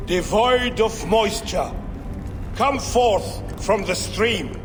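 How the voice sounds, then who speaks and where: A high-voiced, mystical ritual seer. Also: male voice, expressive, extremely slow, full character voice. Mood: solemn incantation. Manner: incantatory, grandly solemn. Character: mystical male seer chanting ancient rituals, commanding and reverent. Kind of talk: dramatic video game acting. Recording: long reverberant hall, faint music behind.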